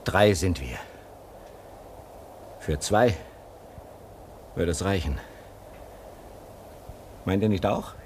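A man's voice: deep voice, expressive, extremely slow, long pauses, a little hiss or hum, full character voice. A man playing a bitter, brooding man with a sardonic, gloomy way of talking, in a radio drama. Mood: grim.

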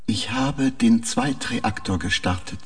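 An actor playing a troubled, literal-minded male spaceship intelligence in a radio drama, speaking in terse, halting phrases. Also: on the low side, huge pitch swings, vocal fry, exaggerated voice. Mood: flat, polite.

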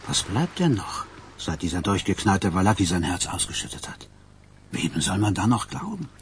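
An actor playing a weary old mobster in a radio drama, grumbling and resigned, in a hushed, breathy voice. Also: male voice, low voice, very animated, normal speed, slight background noise. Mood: weary resignation. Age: older.

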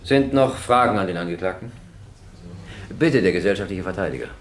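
A presiding judge in a radio crime play. He is stern, official and measured, with a fairly low voice. The hall echoes around his words. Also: lively intonation, slight background noise. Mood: formal, stern. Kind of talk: German radio crime drama acting. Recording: roomy, echoing courtroom sound.

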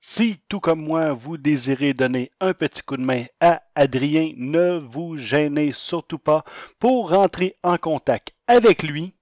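A man's voice: a little high, dry recording. A man presenting a podcast, delivering a scripted announcement in a dry, lo-fi recording.

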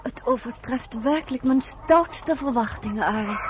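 A woman's voice: a little high, normal speed, lightly acted. An actress playing a polite, curious female visitor in a radio drama, exclaiming with interest. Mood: curious, impressed. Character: polite, impressed American visitor, wryly curious. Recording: clearly noisy radio play with farm fowl.

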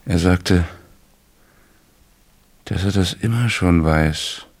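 An actor playing a troubled, tipsy married teacher in a radio drama, speaking in a halting, confiding way. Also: male voice, no room sound. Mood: confiding, dazed. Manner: halting, low-key, confiding. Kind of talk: 1970s German radio play acting.

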